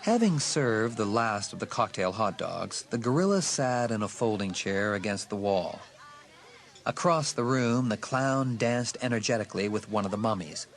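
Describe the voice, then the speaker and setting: A man's voice: on the low side, lively intonation, normal speed. A man reading a dramatised horror audiobook as a scripted narrator.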